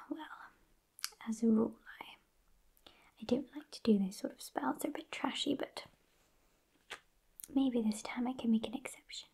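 A woman in her twenties with a British accent playing a sassy, haughty witch in a breathy, unhurried ASMR whisper, close to the microphone. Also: fairly high pitch, dark tone. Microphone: close microphone just off camera.

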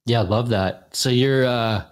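A man's voice: medium pitch, a little slow. A man in his thirties hosting a podcast interview over a video call, chatty and friendly with fillers. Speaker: podcast host. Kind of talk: casual interview.

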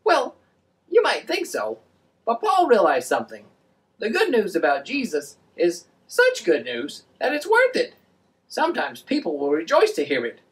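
A woman voicing an excitable, friendly boy puppet, explaining things in an animated way for a children's show. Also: medium pitch, moderate pace, distant mic. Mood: earnest.

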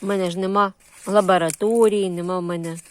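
A woman's voice: mid-range voice, fairly even intonation, quick pace, clean tone. A woman with a Ukrainian surzhyk accent giving a casual, unscripted garden tour in short, homely phrases full of diminutives. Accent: Ukrainian with Russian-influenced surzhyk words. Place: walking through a vegetable garden and greenhouse.

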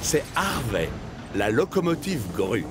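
A man narrating a children's cartoon as a friendly, expressive storyteller. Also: medium pitch, slow pace, over music. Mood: storytelling.

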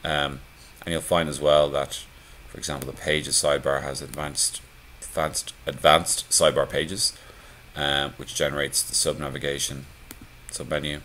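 Male tutorial presenter, extremely low voice, laid-back conversational delivery, voice-over screencast. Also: slight background noise. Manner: relaxed, conversational, many fillers. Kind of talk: screencast walkthrough.

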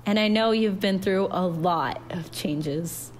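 A loving storybook mother, played by a woman narrator, tender and reassuring. She takes her time over each phrase and encourages softly. There is faint noise in the recording. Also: mid-range voice, animated, slow pace. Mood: warm, reassuring. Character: gentle, loving, reassuring mother of a growing girl.

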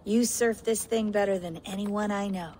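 A steady tech operator, voiced by a woman, calm and reassuring. Faint ambient noise stays under her voice. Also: mid-range voice, moderate pace, lightly acted.

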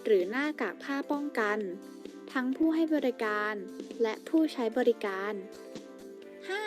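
A woman reading a scripted instructional narration for a public health video. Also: high-pitched. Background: music.

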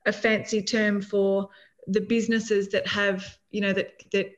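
A woman's voice: mid-range voice, flat delivery, unhurried. A middle-aged Australian woman explaining her social enterprise as an interview guest over a video call from home, earnest and full of "you know"s. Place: home room. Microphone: laptop or webcam mic on video call. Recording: slightly dull video call audio.

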